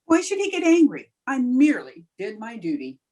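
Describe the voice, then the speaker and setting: A woman playing a quick-witted, wisecracking schoolteacher in an old-time radio comedy style. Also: a little high, wildly swooping pitch, dark tone. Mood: wry, brisk. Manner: dry, wisecracking.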